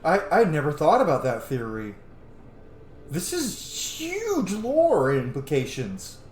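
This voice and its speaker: A man's voice: fairly high pitch, wildly swooping pitch, slow. A man hosting a gaming channel, giving informal, sweary let's play commentary. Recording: roomy echo, game music behind. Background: video game music.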